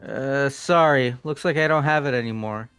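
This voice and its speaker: A man's voice: medium pitch, normal speed, a bit bright, smooth, faint music behind, close to an everyday voice. A man voicing a goofy, bumbling, evasive young character in a video game read-through, hesitant and casual. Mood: flustered, shifty. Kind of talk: hobby video game voice acting.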